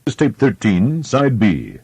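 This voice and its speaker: A man's voice: fairly low pitch, huge pitch swings, unhurried, a little mellow, husky. A man making a scripted announcement for a cassette recording. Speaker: tape announcer.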